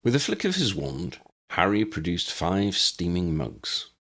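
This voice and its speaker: A man's voice: sits low, huge pitch swings, a little slow, fairly bright tone. A man reading scripted story narration as an audiobook narrator.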